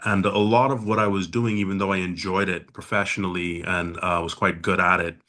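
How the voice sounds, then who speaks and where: A very deep, low man's voice from the Bahamas, speaking in an even, steady tone that barely rises or falls. He talks in a measured, reflective way, often pausing to find his words as a podcast guest. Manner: measured, thoughtful, with frequent "uh" pauses.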